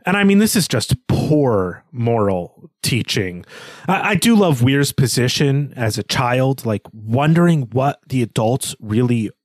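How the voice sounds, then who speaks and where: A man's voice: medium pitch, animated, measured pace, no echo. A man co-hosting a podcast, analysing a story in a conversational way. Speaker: podcast co-host.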